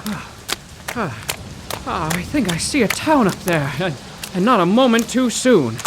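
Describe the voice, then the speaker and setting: An actor playing a polite, earnest, bewildered 1930s poetry professor, halting and formal, in an audio drama. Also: male voice, high-pitched, sing-song, noisy background. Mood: anxious, weary. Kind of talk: audio drama acting in 1930s period setting.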